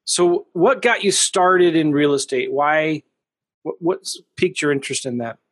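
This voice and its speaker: A man in his forties hosting a casual interview, upbeat and quick with short affirmations. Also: a little high, a bit bright. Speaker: interview host and coach.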